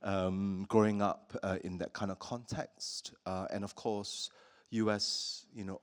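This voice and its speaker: A man from Singapore hosting a public talk with an artist, speaking thoughtfully with many pauses and fillers. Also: low-pitched. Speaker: host.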